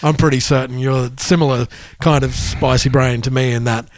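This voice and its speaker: Man in relaxed podcast talk, dryly recorded. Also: medium pitch, a little fast, dry recording. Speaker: podcast co-host. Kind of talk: casual music podcast chat.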